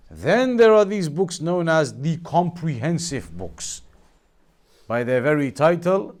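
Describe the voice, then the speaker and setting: Higher-pitched man teaching a religious lesson. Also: a little mellow. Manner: measured explaining, repeats and rephrases key points. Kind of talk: Islamic studies lesson, explaining to students.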